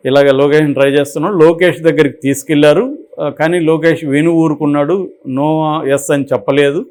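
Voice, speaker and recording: A man's voice: a little high, fairly even intonation, a little fast, darkish tone. A man with a Telugu accent giving unscripted opinionated commentary, explaining through examples and rhetorical questions. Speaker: political and film commentator.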